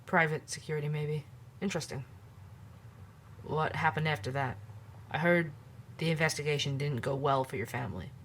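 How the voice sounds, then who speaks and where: A man playing an investigator who asks measured, gently probing questions. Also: a little high, a little hiss or hum. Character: calm investigator, curious and tactful.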